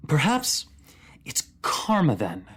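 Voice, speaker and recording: A voice actor playing a bitter, wounded fantasy ruler in an emotional dramatic monologue, halting and speaking directly to one listener. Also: medium pitch, huge pitch swings, fairly bright tone, slightly rough, full character voice. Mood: cold, accusing.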